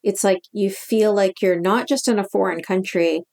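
A woman's voice: mid-range voice, vocal fry, darkish tone. Canadian-accented woman guest, emphatic storyteller. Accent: Canadian English. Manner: emphatic, fast-flowing storytelling. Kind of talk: conversational interview.

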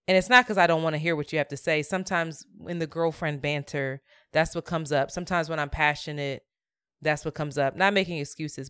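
A woman's voice: low voice, a bit bright, smooth, no echo, studio quality. A woman co-hosting a podcast, talking earnestly and reflectively in casual chat, thinking aloud as she goes. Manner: earnest and reflective, talks through her thoughts. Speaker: podcast co-host.

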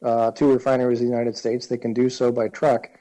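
Mellow-voiced man, wry political chat. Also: medium pitch, level tone, quick pace. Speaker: political podcast co-host. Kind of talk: casual political discussion.

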